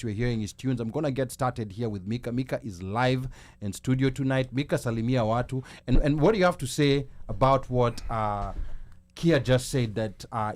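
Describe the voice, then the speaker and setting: A man's voice: mid-range voice, a little mellow. A man with a Kenyan accent hosting a live talk show, conversational, mixing Swahili words into English. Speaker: host.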